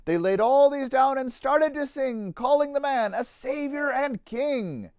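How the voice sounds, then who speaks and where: Animated, high-voiced man reading to children. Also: measured pace, clean tone, no room sound. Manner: theatrical, rhythmic delivery of rhyming verse. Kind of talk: expressive children's storybook reading.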